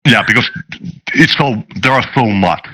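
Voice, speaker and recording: A man speaking hesitantly in an interview as a game master and designer, with searching pauses. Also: medium pitch, lively intonation, sharp tone.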